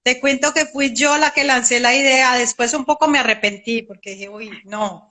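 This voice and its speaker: A middle-aged woman from Colombia, a guest in a casual interview, telling a story in a relaxed way. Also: fairly high pitch, moderate pace.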